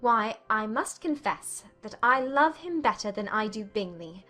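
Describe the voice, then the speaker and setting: A woman's voice: on the high side, animated, measured pace, pushed and bright, faint music behind. A woman playing a happy, playful young woman in love in a dramatized period audiobook. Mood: playful, confessing.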